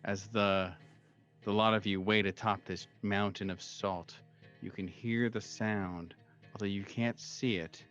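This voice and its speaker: A man with a fairly low voice narrates a tabletop game. He tells the story deliberately and formally, pausing as he improvises. The audio is a little dull, with faint music behind him. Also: steady tone, fairly bright tone. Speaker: game master.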